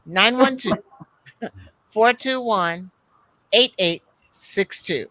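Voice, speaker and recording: A man's voice: sits high, very slow, pushed and bright, low-quality recording. A man co-hosting a live-stream talk show, explaining tips in an encouraging way. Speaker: co-host.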